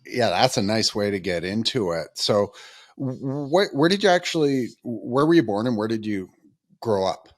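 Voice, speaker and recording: A man's voice: on the low side, animated, normal speed, no room sound, studio quality. A man hosting a podcast interview, rambling and friendly with many ums and restarts. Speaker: podcast host. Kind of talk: casual interview chat.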